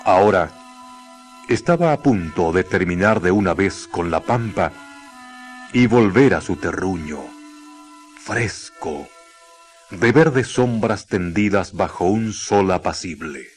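Male radio drama narrator, low voice, very measured, solemn, faint music. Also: lively intonation.

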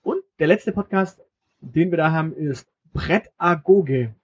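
A man co-hosting a casual podcast, talking in a wry, halting way with many fillers, and acting as game master for a short role-play game. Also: a little high, breathy edge, dull audio.

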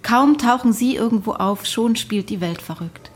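An actress playing a sceptical questioner in a conversational radio drama, matter-of-fact and probing. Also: female voice, fairly high pitch, moderate pace, lightly acted. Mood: sceptical, curious. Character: probing, sceptical female questioner hearing an incredible account.

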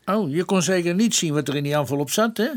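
An actor playing a folksy, genial older police detective in a radio play, speaking hesitantly with lots of ums. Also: male voice, high voice, animated, fast. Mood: hesitant probing. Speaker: police detective, inspector's colleague. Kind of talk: naturalistic radio play dialogue.

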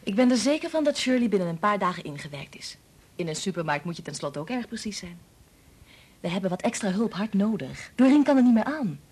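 An actress playing a brisk, capable statistician and mother in a Dutch radio play, firm and gently teasing. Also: mid-range voice, very animated, breathy edge. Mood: friendly, brisk.

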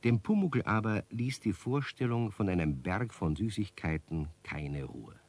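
A man's voice: fairly low pitch, lively intonation, a little slow, a little mellow. A man narrating a scripted children's radio tale as storyteller. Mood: storytelling.

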